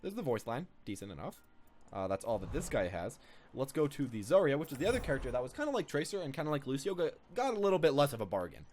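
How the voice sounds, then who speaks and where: Casting: young male gaming creator, chatty and rambling, rapid delivery with lively intonation. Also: mid-range voice, slight background noise. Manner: rambling, informal. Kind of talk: casual game commentary.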